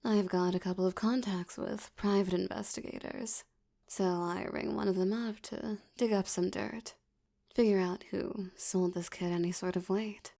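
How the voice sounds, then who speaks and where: A woman playing a seductive, menacing mafia boss in an ASMR roleplay, teasing and often slipping into a breathy whisper. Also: medium pitch, steady tone, dark tone, natural voice. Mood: cool, businesslike. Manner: teasing, slow, velvety, threatening. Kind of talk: ASMR roleplay audio drama.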